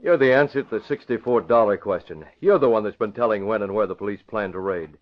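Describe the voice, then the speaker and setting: An actor playing a bold, cool-headed young newspaper publisher in an old-time radio drama, with a brisk, confrontational manner. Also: male voice, lowish voice, close to an everyday voice. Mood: firm, accusing. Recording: muffled vintage radio broadcast.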